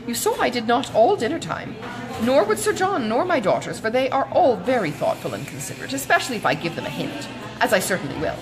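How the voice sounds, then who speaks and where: Casting: female reader as excitable older matron for a storybook, good-natured gossip, gushing, very animated swoops in pitch, music underneath. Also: fairly quick, low-quality recording. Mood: emphatic. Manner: gushing, exclamatory, rambling.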